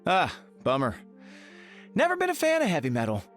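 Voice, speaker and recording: An actor playing a cocky, goofy space-misfit hero in a video game, breezy and joking. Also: male voice, on the high side, huge pitch swings, brisk, fairly bright tone, soft background music. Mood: eager, joking.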